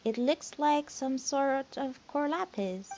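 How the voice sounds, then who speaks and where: A woman's voice: high voice, expressive, slow pace, darkish tone. A woman giving casual commentary as she plays through a video game. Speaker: gamer playing through a game. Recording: dull lo-fi mic with faint noise.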